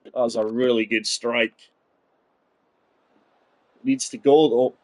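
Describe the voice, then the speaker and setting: A man's voice: mid-range voice, steady tone, slow, darkish tone, no echo, poor audio. A man in his forties giving chatty live commentary on a golf game, talking straight to his viewers. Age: 40s. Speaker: game streamer.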